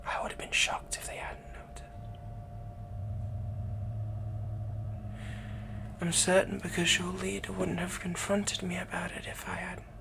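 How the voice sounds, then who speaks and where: A man playing a smitten, possessive villain in an intimate ASMR roleplay, speaking softly and breathily as he comforts his captive. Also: sits high, monotone. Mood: quietly confiding. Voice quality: breathy, whispery. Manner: slow, soft, possessive, insinuating.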